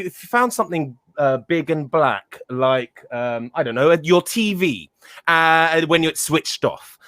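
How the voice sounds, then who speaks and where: A man's voice: a little high, no room sound, clean studio sound. A man on a headset, a comedian guest building a rambling comic answer with stammering restarts.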